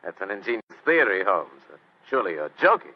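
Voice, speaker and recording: An actor playing a duplicitous English police surgeon, formal and theatrical in old-time radio drama style. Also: male voice, fairly low pitch, big pitch swings, fairly quick, breathy edge, dull audio. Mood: scoffing.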